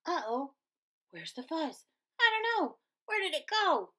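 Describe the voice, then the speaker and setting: A woman voicing excitable, squabbling little prairie dogs from a storybook in short exclamations. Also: very high voice, unhurried, voice pushed up, full character voice. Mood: confused, accusing.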